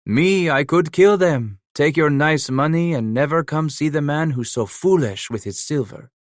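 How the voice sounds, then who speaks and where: A hulking, scarred frontier killer, as a male narrator puts him on, mangling his English with a mocking, menacing edge. His voice sits higher and louder than the narrator's own, and the sound is close and dry. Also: expressive, normal speed, dry recording, natural voice. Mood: taunting. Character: giant scarred French-Canadian frontier killer, cunning, playful.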